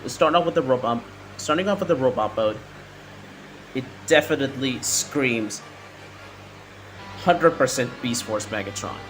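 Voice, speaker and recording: A man narrating a hands-on toy review in a halting way, often repeating his words. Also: medium pitch, expressive, faint music behind.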